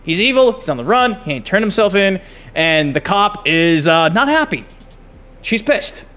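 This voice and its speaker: A man hosting a gaming channel, giving excited casual commentary and swearing freely. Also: high-pitched, normal speed, soft background music. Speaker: gaming YouTube host. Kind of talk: casual commentary on game clips.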